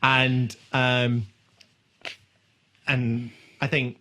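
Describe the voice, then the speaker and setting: A man's voice: mid-range voice, flat delivery, drawn-out words, pushed and bright, slight background noise. A man co-hosting a podcast, chatting casually and discursively, with some swearing. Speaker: podcast co-host. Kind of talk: casual chat recapping a TV episode.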